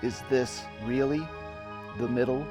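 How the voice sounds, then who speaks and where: A middle-aged man giving a dramatic reading of his own memoir in deliberate, theatrical storytelling. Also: mid-range voice, fairly even intonation. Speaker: narrator and videographer. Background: music bed.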